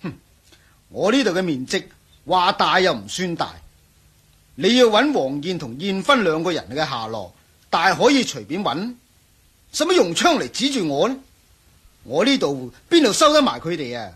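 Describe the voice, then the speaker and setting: An actor playing an indignant professor in a radio drama, huffy and exclaiming, with a Hong Kong accent. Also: male voice, high-pitched, big pitch swings, moderate pace, pauses often, fairly bright tone, husky, heavily acted. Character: indignant male professor, held at gunpoint, protesting innocence. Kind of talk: Cantonese radio drama acting.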